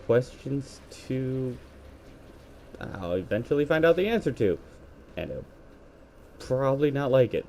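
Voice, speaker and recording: A man's voice: mid-range voice, big pitch swings, measured pace, pauses often, darkish tone. A man with a scratchy voice giving rambling play-by-play commentary while gaming, talking into a headset. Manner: rambling, self-correcting play-by-play. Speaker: gaming video creator.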